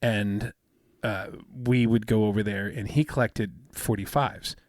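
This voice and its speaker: A man in his fifties with a soothing, smooth voice, chatting casually as a podcast co-host and rambling with many fillers and restarts. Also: fairly low pitch, fairly even intonation, unhurried, dry recording, clean studio sound. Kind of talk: casual chat.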